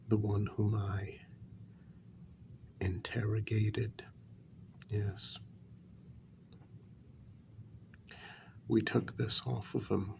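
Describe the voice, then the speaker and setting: A man voicing a measured, insinuating vampire who serves his queen, in a soft-spoken, mostly whispered ASMR role-play with deliberate, drawn-out pauses. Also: fairly low pitch, gravelly, slight background noise, exaggerated voice. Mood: grave, probing.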